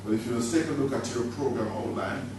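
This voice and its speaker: A man formally introducing a trainer to a workshop audience, speaking in a measured way. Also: mid-range voice. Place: conference room. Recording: distant echoey room recording with noise.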